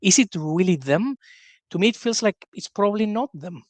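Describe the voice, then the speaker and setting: A man's voice: sits high, expressive, normal speed, darkish tone, no echo. A man appearing as a guest on a podcast, explaining his views in a thoughtful, slightly hesitant way. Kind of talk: casual discussion.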